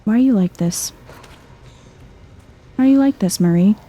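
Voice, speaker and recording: A soft-spoken woman giving hushed, chill ASMR-style video game commentary, thinking aloud to herself. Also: medium pitch, normal speed, slight background noise.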